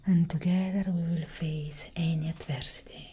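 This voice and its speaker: A young woman playing a tender, protective elder vampire sister in a whispered ASMR roleplay, breathy and solemn, close to the microphone. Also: low-pitched, fairly even intonation, warm tone. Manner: slow, intimate, deliberate.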